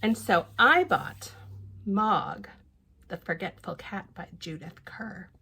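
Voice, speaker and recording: A woman reading a storybook aloud to young children, animated and sing-song, with chatty asides and repeated little affirmations. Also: medium pitch, slow, husky. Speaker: children's librarian and storytime reader.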